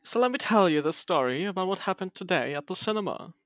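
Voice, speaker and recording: Podcast host, man with a fairly high voice, meandering and halting, close dry sound. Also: expressive, fairly bright tone, dry recording. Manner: rambling, hesitant storyteller with fillers and restarts. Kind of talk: casual chat.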